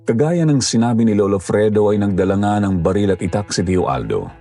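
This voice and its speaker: A man's voice: lowish voice, animated, brisk, mellow, faint music behind. A man narrating a scripted horror story as its storyteller.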